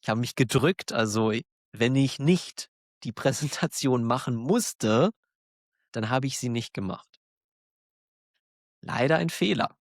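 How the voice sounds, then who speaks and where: A man with a German accent, hosting a podcast for learners, talking slowly and clearly in a warm, encouraging way and laughing now and then. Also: medium pitch, animated, no room sound, clean studio sound. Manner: encouraging, self-deprecating, laughs often. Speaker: German teacher, podcast host. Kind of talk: slow, clear monologue for learners.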